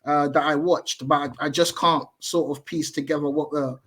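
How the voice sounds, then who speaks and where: A man's voice: fairly high pitch, steady tone, normal speed, fairly bright tone. A man in his thirties, a podcast guest chatting over a video call, thinking aloud and correcting himself as he goes. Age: 30s. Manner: thoughtful, hedging, self-correcting.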